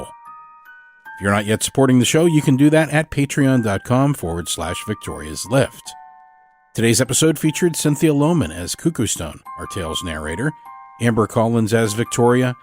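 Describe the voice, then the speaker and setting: A man, a podcast producer, reading a scripted outro with credits. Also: medium pitch.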